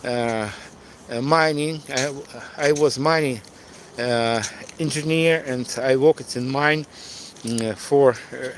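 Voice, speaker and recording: A middle-aged man from eastern Ukraine, a native Russian speaker, talking off the cuff on a livestream in halting, hesitant English with a Ukrainian accent. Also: mid-range voice, a little hiss or hum. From Donetsk, eastern Ukraine. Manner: halting, hesitant, searching for words. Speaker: live streamer and tour guide. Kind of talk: unscripted livestream monologue answering chat.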